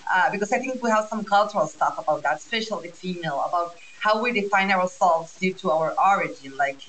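A woman's voice: lowish voice, a little fast, a bit bright, a little hiss or hum, poor audio. A woman, co-hosting a casual interview and asking long, earnest questions. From Uruguay.

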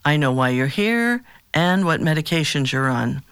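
An actor playing a calm, patient therapist in an audio drama, asking direct questions in a measured, gentle way. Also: medium pitch, clear, resonant tone, no room sound.

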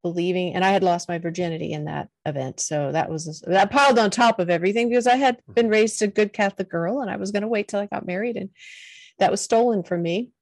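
A middle-aged woman, a podcast guest, telling her personal story in a soft, earnest voice. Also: medium pitch, quick pace, no echo. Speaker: podcast guest, confidence coach.

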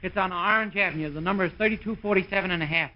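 Actor as a high-voiced, guileless young man. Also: rapid-fire, natural voice. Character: eager, naive young man buying gifts, oddly matter-of-fact. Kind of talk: 1950s old time radio comedy acting. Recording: dull, very noisy vintage radio recording.

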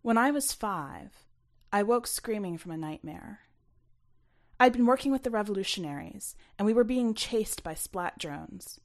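A woman reading her own short story aloud as expressive first-person narration. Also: medium pitch, unhurried.

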